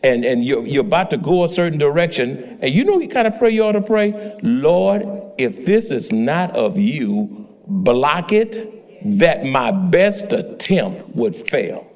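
A male pastor preaching a sermon, swinging between loud exclamations and low asides. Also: sing-song, a little slow, lo-fi sound.

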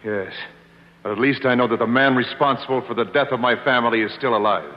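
An actor playing a grim, resolute masked vigilante in solemn 1950s radio drama style. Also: male voice, medium pitch, quick pace, natural voice. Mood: grim resolve. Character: vengeful masked vigilante hero, grim and resolute young man. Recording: muffled, reverberant old 1950s radio recording.

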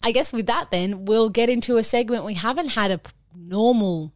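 A woman's voice: a little high, moderate pace, no room sound, clean studio sound. A woman hosting a sports podcast in a casual, upbeat, chatty way. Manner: upbeat, chatty, sings parts of the intro. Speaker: podcast host.

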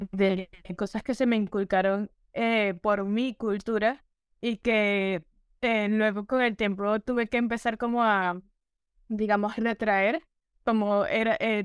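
A woman's voice: mid-range voice, clean tone, dry recording, clean studio sound. A teenage girl from Venezuela answering in an interview, hesitant and searching for words. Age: teens. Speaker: guest.

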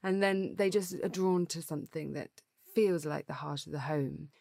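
A woman hosting a podcast, with a very low voice recorded dry and close. She asks her guest inquisitive, open questions. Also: normal speed, creaky, a little mellow, no room sound. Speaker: podcast host, interviewer. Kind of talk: interview questions.

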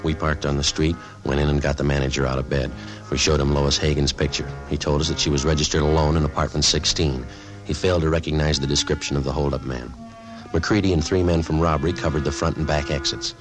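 Terse radio police detective, extremely low voice, deadpan, clipped, matter-of-fact, music behind, old radio recording. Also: male voice, fast talker, poor audio. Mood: deadpan, factual. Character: terse, businesslike male police detective narrating his case. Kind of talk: 1950s radio police drama, clipped narration.

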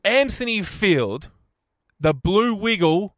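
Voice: medium pitch, expressive, slow pace, fairly bright tone, no echo, clean studio sound. A radio co-host delivering news in a teasing, matter-of-fact way. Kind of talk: casual radio banter.

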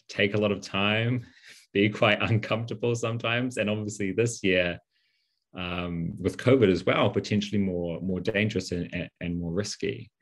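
A young man hosting an interview with youth climate activists, earnest and hesitant, with fillers. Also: fairly low pitch. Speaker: host.

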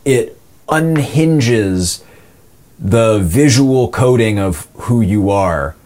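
A man speaking casually and candidly as a podcast host and voice actor, in an animated, rambling, self-deprecating way. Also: lowish voice, very slow.

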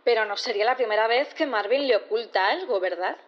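A woman's voice: a little high, quick pace, dull audio, natural voice. An actress playing a shrewd, insistent prosecutor in a sci-fi audio drama, formal and rhetorical in her questioning. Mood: probing. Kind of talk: audio drama courtroom acting.

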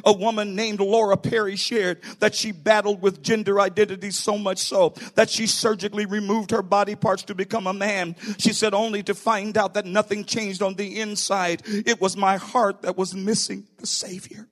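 Male pastor, high-pitched voice, emphatic sermon delivery, direct appeals, declarative points. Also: little pitch movement, moderate pace, hardly any pauses. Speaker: pastor, bishop preaching to own church.

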